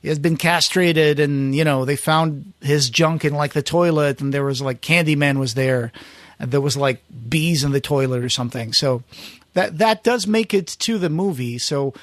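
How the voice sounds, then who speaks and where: Male podcast host, slightly high voice, dry close sound, casual storyteller who acts out quoted lines. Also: moderate pace, no echo. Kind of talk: casual chat.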